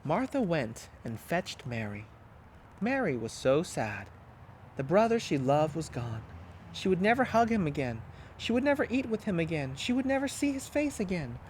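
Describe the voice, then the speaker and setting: A pastor reads a children's story aloud with plenty of expression. His voice sits a little high and swings widely in pitch, with a faint hiss of noise under it. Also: big pitch swings, moderate pace, a little mellow.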